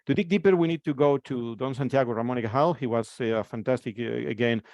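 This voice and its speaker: A man's voice: medium pitch, fast talker, a little mellow, no echo. A man from Spain in his forties with a Spanish accent, giving a conference presentation and often repeating words as he restarts his phrases.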